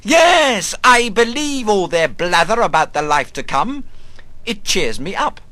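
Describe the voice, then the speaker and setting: A halting, half-mad old man. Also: high-pitched, big pitch swings, pushed and bright, dry recording, full character voice. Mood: bitter, scornful. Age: older. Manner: halting, broken phrases with sudden outbursts. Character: old, half-mad, rambling, bitter but harmless wanderer. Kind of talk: dramatic literary monologue reading.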